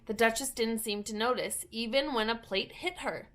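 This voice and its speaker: A woman's voice: a little high, moderate pace, fairly bright tone. A woman narrating a children's storybook as a story reader.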